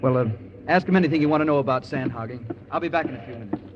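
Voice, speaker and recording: A man's voice: medium pitch, very fast, slight background noise, lightly acted. An actor playing a weary, sincere male contractor boss, in 1940s radio style. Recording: dull old radio recording.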